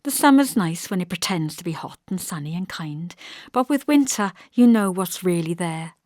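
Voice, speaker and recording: A woman voicing a brittle, wistful young pregnant wife in an audiobook, with a detached manner that drifts from bright to toneless. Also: lowish voice, animated, moderate pace, no room sound, studio quality, natural voice.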